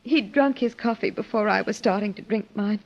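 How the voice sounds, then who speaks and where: An actress playing an earnest, grieving young wife in old-time radio drama style, speaking haltingly with emotion. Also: female voice, medium pitch, gravelly. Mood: troubled, earnest. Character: grieving young wife of a wealthy older man. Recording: dull, lo-fi old radio recording.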